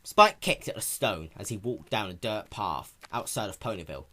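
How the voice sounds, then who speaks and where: A man's voice: fairly low pitch, lively intonation, normal speed, pushed and bright. A man narrating a piece of fan fiction as a dramatic reading.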